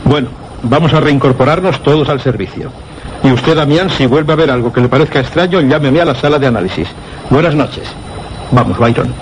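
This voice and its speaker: Casting: man playing authoritative senior doctor in radio thriller, quick formal speech, middle pitch. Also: close to an everyday voice. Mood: brisk, commanding. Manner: brisk, formal, commanding. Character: authoritative male doctor in charge of observatory. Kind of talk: suspense radio theatre acting. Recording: faintly noisy old radio drama recording.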